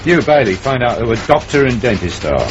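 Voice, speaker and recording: An actor playing a gruff, impatient senior detective with a British accent, brusque and commanding, in a naturalistic radio drama. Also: male voice, medium pitch, expressive, brisk, music in the background, close to an everyday voice. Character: gruff, impatient male senior police detective, curt and demanding. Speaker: police detective in charge. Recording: muffled, noisy 1970s radio drama recording.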